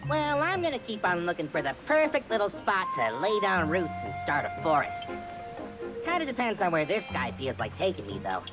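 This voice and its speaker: A woman voicing a small, cowardly male forest creature in a video game, with an excitable, stammering, grumbling manner. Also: lowish voice, normal speed, loud music behind the voice, rough recording. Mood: grudging, hesitant. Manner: excitable, stammering, dramatic whining.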